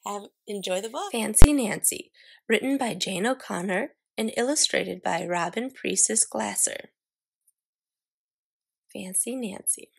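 A woman's voice: medium pitch, measured pace, creaky, slightly rough, low-quality recording. A woman, introducing a read-aloud story to young children in a teacherly way. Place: small furnished room indoors with curtains.

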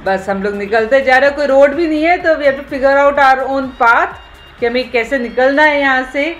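A woman talks through a road trip from inside a car, her voice a little high, mixing easy chat with English phrases. Soft background music plays under her voice. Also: moderate pace. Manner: casual, mixes Hindi with English phrases. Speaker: travel vlogger. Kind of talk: road trip vlog commentary.

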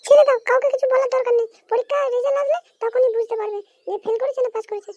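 A woman voicing a nagging mother in an amateur cartoon, with a pitched-up voice and scolding, repetitive manner. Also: steady tone, rapid-fire, a little mellow, no room sound. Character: nagging mother of two schoolchildren.

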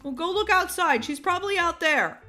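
Lady doing a lazy whiny dad, bright and swoopy, game roleplay. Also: extremely high pitch, animated, moderate pace, faint music behind, full character voice. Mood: lazily annoyed. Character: lazy, childishly gushing dad glued to cute shows. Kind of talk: children's game roleplay acting.

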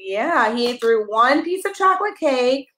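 A woman in her thirties reading a picture book aloud to a toddler, expressive and encouraging, coaxing the child along with questions. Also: sits high, normal speed, reverberant, slight background noise. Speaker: pastor reading a children's story. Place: small carpeted room at home, indoors. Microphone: camera a step or two away.

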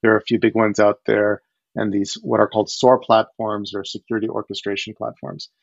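A man in his forties, born in Ukraine, talking as a startup founder on a video call from his home, explaining with lively gestures and frequent restarts. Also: on the low side, brisk, creaky, no echo. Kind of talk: casual video-call interview. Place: home living room. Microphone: headset on video call. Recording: dull, dry headset audio.

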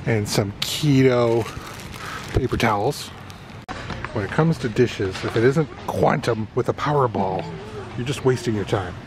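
Guy doing a casual shopping vlog, regular pitch, really loud warehouse noise behind him. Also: measured pace. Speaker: vlogger. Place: large indoor warehouse store. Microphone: handheld camera microphone. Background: loud store ambience.

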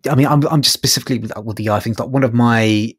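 A man's voice: mid-range voice, fast talker, husky, no echo, clean studio sound. A man co-hosting a podcast, discussing books casually and feeling his way tentatively through his points. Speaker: podcast co-host.

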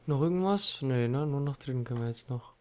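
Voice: medium pitch, brisk. A let's play commentator, a native German speaker, giving casual gameplay commentary.

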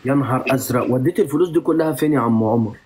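A man's voice: medium pitch, rapid-fire, darkish tone, husky. A man with an Egyptian Arabic accent hosting a live stream, teasing and bantering with a guest in a playful, mock-stern way.

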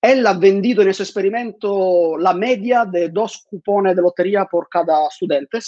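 A high-voiced man, often restarting and repeating words as he gives a talk from home. His lapel mic sounds dry and close. The video call is muffled and lo-fi. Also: moderate pace, a little mellow, no room sound. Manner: many fillers and repeated words. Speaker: conference speaker. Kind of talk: online conference talk. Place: home room with bookshelves.

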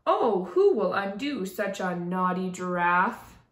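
A woman's voice: medium pitch, big pitch swings, slow pace, reverberant. A woman voicing a silly young male giraffe from a storybook, exclaiming and pleading theatrically. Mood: dismayed.

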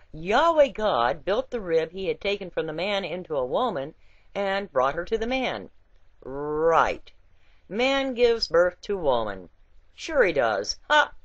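A woman reading a scripted essay with quotations in a deliberate, emphatic and wry storytelling way. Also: lowish voice, no room sound, dull audio. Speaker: reader.

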